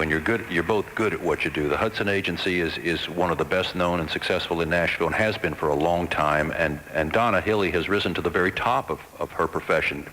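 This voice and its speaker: A man's voice: sits low, pushed and bright. A middle-aged man hosting a conversational radio interview, asking reflective questions that he rephrases as he goes. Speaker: radio talk show host. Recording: faintly noisy radio aircheck.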